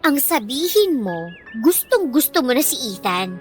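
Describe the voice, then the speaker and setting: A woman's voice: high voice, big pitch swings, a little slow, faint music behind. A woman playing a mean, haughty teenage schoolgirl bully in a radio drama, taunting and sneering theatrically with a Filipino accent. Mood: sneering, demanding.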